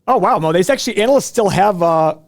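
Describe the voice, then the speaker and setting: A man's voice: sits high, animated, fast. A man hosting live stock earnings commentary, talking conversationally and explaining things directly to viewers. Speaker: finance show host.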